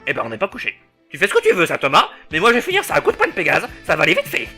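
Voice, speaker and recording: A man voicing a brash, wisecracking young hero knight in a comedic fan dub, sarcastic and prone to panicked yelling. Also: on the high side, wildly swooping pitch, rapid-fire, soft background music, exaggerated voice.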